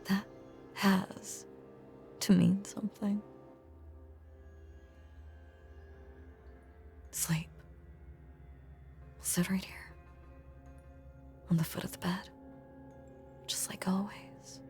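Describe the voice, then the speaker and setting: A woman voicing a lonely, possessive young ghost in an intimate audio roleplay, speaking in a hushed, halting, breathy voice that is tender and sweetly sinister. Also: medium pitch, fairly even intonation, faint music behind. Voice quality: breathy, often slipping into whisper.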